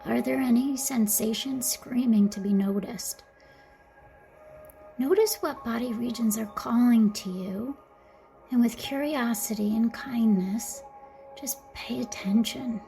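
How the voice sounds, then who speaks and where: A woman guiding a mindfulness meditation in a gentle, soothing, softly spoken way, with breathy phrase endings. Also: a little high, a little slow, soft background music. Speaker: meditation guide. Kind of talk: guided mindfulness meditation narration.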